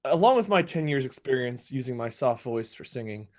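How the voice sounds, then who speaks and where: A man improvising a boastful job pitch, laughing at his own jokes in a salesman's patter while talking over a call. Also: animated, fairly quick, darkish tone. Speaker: player pitching himself as a job applicant.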